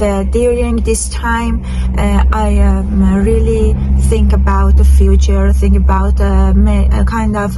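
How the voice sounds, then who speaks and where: A woman's voice: medium pitch, low-quality recording. A woman from Iran with a Persian accent, speaking hesitant English as a second language in a casual vlog style, often searching for words. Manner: halting, hesitant English with frequent word searching. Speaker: travel and lifestyle vlogger. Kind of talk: casual vlog talk to camera.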